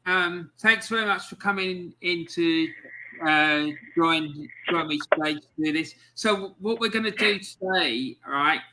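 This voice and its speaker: A man's voice: sits high, measured pace, sharp tone, slight background noise, poor audio. A man hosting a casual podcast interview, talking in a loose, chatty way with some hesitation. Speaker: podcast host.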